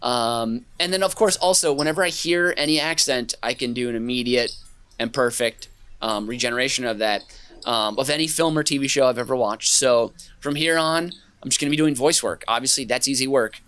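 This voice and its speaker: Bright-toned rambling man on a podcast. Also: medium pitch, brisk, clean tone. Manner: rambling, self-mocking, lots of fillers and restarts. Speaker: podcast co-host. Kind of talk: casual comedic chat.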